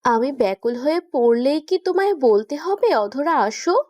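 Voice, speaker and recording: A woman's voice: high voice, expressive, normal speed, dark tone, no echo, clean studio sound. A woman playing a childish young woman in love, whining, sulky and pleading, in a romantic audio story. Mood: pained, pleading. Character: young woman in love, childish, pouting, whiny wife or girlfriend.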